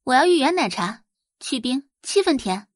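A woman as a breezy, high-voiced young whiz girl. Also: very animated, normal speed, no echo, clean studio sound. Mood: cute, demanding. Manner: breezy, nonchalant. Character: young girl computer whiz, breezy, cool, milk-tea lover. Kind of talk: Chinese audio drama acting.

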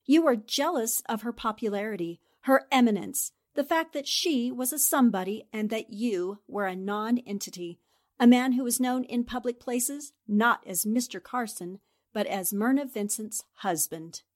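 A woman's voice: fairly high pitch, normal speed, natural voice. A woman playing a shrewd, confident newspaper reporter and amateur sleuth, theatrical and assertive in 1940s radio drama style. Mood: accusing.

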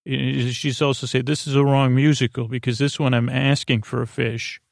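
Creaky-voiced rambling male podcast host. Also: mid-range voice, flat delivery, moderate pace, no room sound, studio quality. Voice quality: creaky, gravelly. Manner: hesitant, meandering, with stammered false starts. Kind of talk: rambling, whimsical improvised bedtime monologue.

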